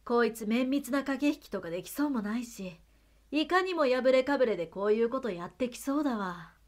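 A woman's voice: a little high, expressive, brisk, close to an everyday voice. A woman playing a haughty, distrustful, secretive character in a voice drama, coy and disdainful. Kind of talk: Japanese voice drama acting.

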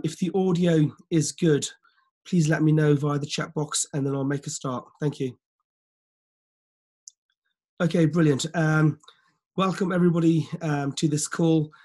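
Slightly croaky man in his forties on a laptop video call, talking in a fairly high, flat voice, earnest team-leader pep talk. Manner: repetitive, many fillers and restarts, earnest. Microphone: laptop webcam on a video call.

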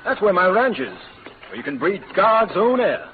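An actor playing a proud, domineering sheep rancher in a western radio drama, declaiming boastfully. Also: male voice, high-pitched, huge pitch swings, moderate pace. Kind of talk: 1940s-50s western radio acting. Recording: noisy, narrow-band old radio recording.

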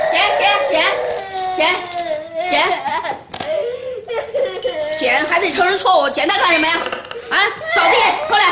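A woman's voice: very high-pitched, echoey room, very noisy. An actress playing a harsh, domineering older grandmother who nags and scolds sharply. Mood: furious scolding. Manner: nagging, scolding, sharp tongue. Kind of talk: TV drama acting.

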